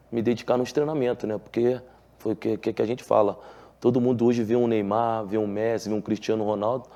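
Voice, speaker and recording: A low, gravelly man with a Carioca accent talks earnestly about his past. His voice is dark and mellow, and his speech is full of small restarts and filler tags. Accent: Carioca Portuguese. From Rio de Janeiro, Brazil. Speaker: former footballer, guest. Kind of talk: relaxed TV interview.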